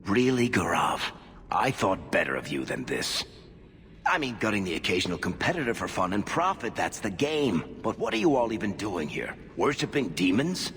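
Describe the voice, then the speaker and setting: Game companion: wisecracking dwarf rogue, lowish pitch, very animated intonation, reverberant recording. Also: male voice, moderate pace, heavily acted. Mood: disbelieving, mocking. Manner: sardonic, wisecracking. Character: wry, roguish male dwarf adventurer and storyteller. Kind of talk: conversational video game acting.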